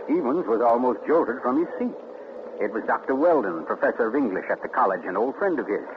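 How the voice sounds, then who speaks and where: An actor playing an urbane, theatrical mystery writer who tells his tale with deliberate, dramatic pauses in 1940s radio style. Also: medium pitch, lively intonation, natural voice. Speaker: actor and narrator. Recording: muffled, noisy 1940s radio recording.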